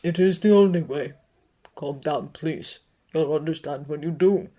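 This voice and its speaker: A woman voicing a calm, grave old male headmaster who speaks in a measured, gently firm way. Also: deep voice.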